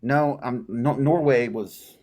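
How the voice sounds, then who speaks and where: A man arguing in a heated online debate, stubborn and halting, often restarting his words. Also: medium pitch, mellow. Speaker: debate caller.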